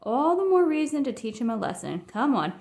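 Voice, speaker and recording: Storybook father bear, played by a woman: boastful, stubborn, excitable, emphatic delivery in a highish register. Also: fairly quick, gravelly, heavily acted. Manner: emphatic, cocksure.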